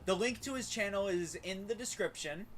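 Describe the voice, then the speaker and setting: A man's voice: sits high, moderate pace, a bit bright, a little hiss or hum, low-quality recording. A man hosting a casual game livestream, often stumbling over and repeating his words. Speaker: game streamer, host. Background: faint game music.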